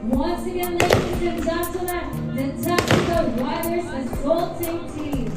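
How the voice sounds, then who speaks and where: High-voiced woman announcer on echoing PA. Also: steady tone, a little slow. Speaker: ceremony announcer. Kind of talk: formal scripted event announcing. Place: public address at a demonstration ground. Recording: booming echoey PA from far off. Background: music.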